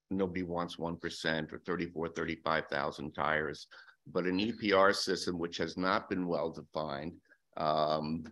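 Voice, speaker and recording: A man's voice: low voice, fairly bright tone. A man in his sixties testifying at a legislative hearing for a business group, hesitant and a little defensive, speaking into a desk microphone in a hearing room. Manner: hesitant, many fillers and restarts, defensive.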